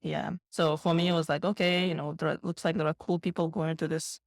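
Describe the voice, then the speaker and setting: A woman's voice: low voice, little pitch movement, fairly quick, no room sound, clean studio sound. A woman from Kazakhstan, a guest entrepreneur chatting loosely in an interview, full of "like" fillers. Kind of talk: casual interview chat.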